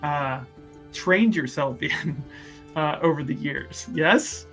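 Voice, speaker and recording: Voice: mid-range voice, slow, a bit bright, faint music behind. A person improvising in character at a tabletop game, hesitant and wheedling, often repeating phrases. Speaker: tabletop roleplaying performer.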